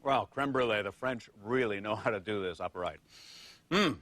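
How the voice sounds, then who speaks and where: An actor playing a folksy, self-important, flag-waving American TV host, rambling and sanctimonious in a film drama. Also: male voice, fairly low pitch, normal speed, fairly bright tone, close to an everyday voice. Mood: pious, patriotic.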